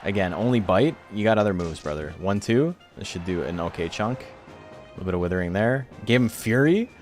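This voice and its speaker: A man's voice: fairly low pitch, animated, fairly quick, soft background music. A man streaming and commentating a video game tournament in a laid-back, wry, teasing way, calling viewers "brother" and "man".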